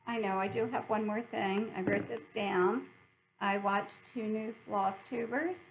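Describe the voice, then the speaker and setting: A woman's voice: medium pitch, slight background noise, rough recording. A middle-aged American woman chatting casually and cheerfully to viewers as host of a hobby video channel.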